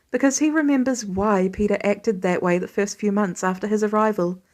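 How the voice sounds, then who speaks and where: A woman narrating fiction in an expressive scripted reading style, as an audiobook reader. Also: medium pitch.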